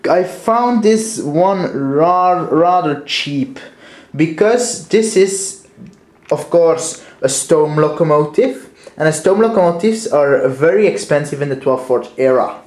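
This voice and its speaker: A man's voice: fairly high pitch, expressive, slow, darkish tone, echoey room. A young man with a Dutch accent casually reviewing a toy train, hesitant and full of fillers and restarts. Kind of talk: casual show-and-tell review.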